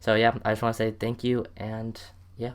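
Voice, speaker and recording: Smooth, even-toned American male host. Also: on the low side, normal speed. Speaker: sports show host. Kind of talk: casual interview chat.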